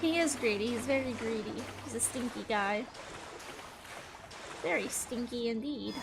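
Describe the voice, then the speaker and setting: A woman's voice: a little high, moderate pace, gravelly, background noise. A woman streamer giving casual, playful commentary while she plays a game. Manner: chatty, playful. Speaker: gaming streamer. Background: game music.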